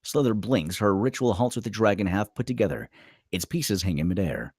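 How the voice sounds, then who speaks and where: A man's voice: lowish voice, animated, brisk, a little mellow, dry recording, studio quality. A man reading screenplay stage directions aloud as a dramatic narrator at a table read.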